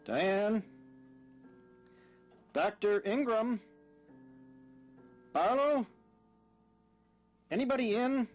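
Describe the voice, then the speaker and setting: Young husband male actor, audio drama. Earnest, slightly formal, quick to indignation, lively intonation, light music underneath. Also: sits high, heavily acted. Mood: alarmed, indignant. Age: young adult. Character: earnest, polite young husband, easily exasperated.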